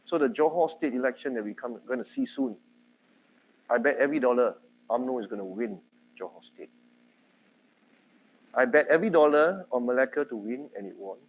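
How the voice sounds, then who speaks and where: A man from Singapore with a Singaporean English accent, giving a university lecture in a chatty, animated way. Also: medium pitch, fairly quick, long pauses, a little hiss or hum, rough recording. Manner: chatty, animated, quotes others in dialogue.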